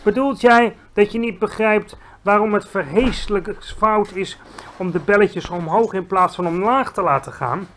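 A man voicing a big friendly male giant in a storybook reading, excitable, loud and drawn out, with muddled grammar. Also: sits high, a little hiss or hum, pitched above the performer's own voice. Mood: lecturing. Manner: mangled grammar, loud exclamations, drawn-out words.

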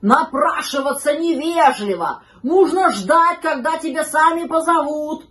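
A woman's voice: medium pitch, measured pace, fairly bright tone. A woman voicing a grumpy, haughty male dog in a children's storybook, grumbling and lecturing emphatically. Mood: indignant. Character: grumpy, haughty male dog who scolds uninvited guests.

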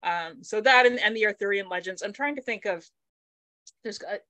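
Bright-voiced woman on a video call. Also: mid-range voice, moderate pace, dry recording. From Manitoba, Canada. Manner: thinks aloud with many fillers and restarts. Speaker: author guest. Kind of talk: casual conversation on a video call. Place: home study with bookshelves. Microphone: laptop webcam mic on video call.

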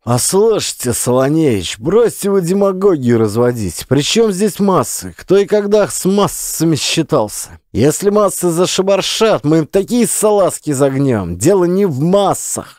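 A man's voice: on the high side, dark tone, no room sound, clean studio sound, voice pushed up. An audiobook reader voicing a cynical, hard-drinking male Soviet party official who talks bluntly and heatedly.

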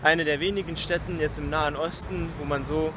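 A man's voice: a little high, steady tone, fairly quick. Young man vlogging outdoors, noisy background. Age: young adult. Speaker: travel vlogger. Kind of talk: casual vlog talk to camera. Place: outdoors on a sunny waterfront promenade. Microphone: camera or phone at arm's length. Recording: noisy outdoor recording, city and traffic ambience.

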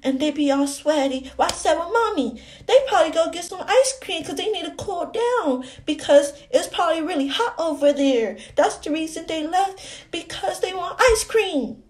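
A woman's voice: extremely high pitch, lively intonation, moderate pace, few pauses, slight background noise. A woman voicing an innocent, chatty little girl who tattles in rambling, naive childlike talk for a comedy skit. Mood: naive, tattling. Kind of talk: comedy skit phone calls.

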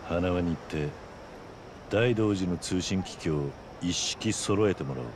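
An actor playing a stoic, gruff middle-aged former yakuza in a game cutscene, speaking in measured, terse, authoritative lines. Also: male voice, deep voice, background noise. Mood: grave, composed. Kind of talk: restrained Japanese game cutscene acting.

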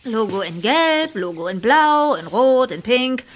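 A woman's voice: fairly high pitch, big pitch swings, measured pace. A woman playing a brash, sarcastic young girl bandmate in a German audio drama.

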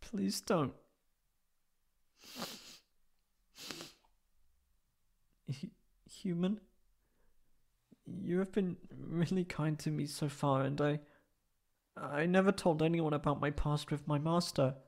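A man as a halting, creaky-voiced wounded catboy. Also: a little high, a little mellow. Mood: pleading, hesitant. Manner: halting, stammering, tearful. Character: wounded, anxious young male catboy, tsundere runaway. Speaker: ASMR roleplay voice actor. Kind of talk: emotional ASMR roleplay monologue.

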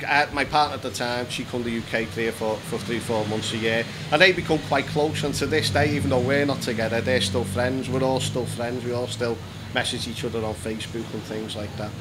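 A British man in his fifties from England, chatting as an interview guest, telling stories and explaining things casually and repeating himself a little. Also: medium pitch. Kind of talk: casual conversational storytelling. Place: small decorated indoor room. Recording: noisy room with background hum.

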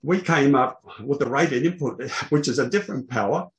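An older man presenting technical material on a video call, explaining in a halting way. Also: medium pitch. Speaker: presenter, loudspeaker engineer. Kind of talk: technical presentation to an online meeting. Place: small indoor room, online video call. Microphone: video call microphone.

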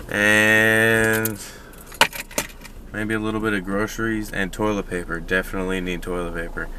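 A man's voice: lowish voice, level tone, moderate pace, background noise. A young man and father talking casually to a camera from his car, laid-back and slangy. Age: young adult. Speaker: vlogger, young dad. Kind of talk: casual vlog chat to camera. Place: inside a car, then a hotel gym.

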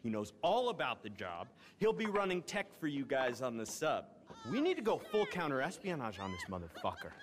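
A cocky young American rich kid from a crime family, pitching like a salesman in a game cutscene. His pitch jumps around freely and his voice rings in a big reverberant space. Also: wildly swooping pitch, a little fast, slight background noise. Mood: eager, self-important. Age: young adult. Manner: cocky salesman patter, corporate buzzwords, swearing. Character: brash, entitled young crime heir with big plans. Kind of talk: video game cutscene acting.

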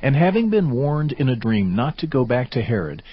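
A man narrating a dramatized Bible in a measured, formal scripted style. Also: medium pitch, big pitch swings, husky. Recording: slightly dull audio over music.

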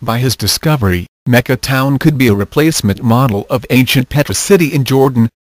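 Male narrator, mid-pitched, measured reading, dry close voice-over. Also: no echo. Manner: measured reading with quoted scripture. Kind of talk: scripted narration over slides.

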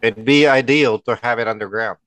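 A man's voice: mid-range voice, brisk, a bit bright, no echo. A man born in the Philippines, co-hosting a casual podcast over a video call, blunt and teasing. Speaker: podcast co-host. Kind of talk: casual chat. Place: bright home room, indoors. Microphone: headset and studio mic on video call.

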